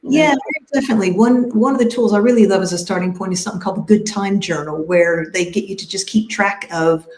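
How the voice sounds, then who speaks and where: A woman's voice: medium pitch, fast talker, hardly any pauses, a little mellow. A woman career coach talking enthusiastically and conversationally on a live video panel. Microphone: video call. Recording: echoey room.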